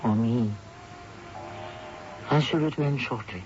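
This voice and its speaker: A man hosting a radio drama, reading scripted narration in a measured, portentous manner. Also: medium pitch. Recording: dull radio broadcast with music.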